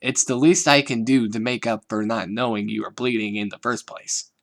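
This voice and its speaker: A man's voice: medium pitch, steady tone, normal speed, gravelly, close to an everyday voice. A man doing a prim, dramatic teen girl. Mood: put-upon. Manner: prim, theatrical sighing. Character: refined dramatic teenage girl, fashion-minded.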